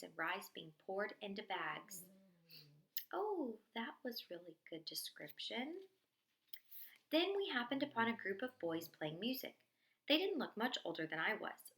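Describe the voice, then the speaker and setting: A woman reading a diary-style story aloud in an expressive narrating style, acting out the dialogue. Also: medium pitch. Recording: echoey room.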